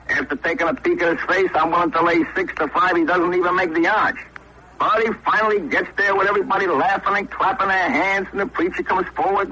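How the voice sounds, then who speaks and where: Male American narrator, slangy comic radio yarn, rasping and fairly high, lo-fi vintage recording. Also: sharp tone. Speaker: storyteller. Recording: dull lo-fi old radio recording.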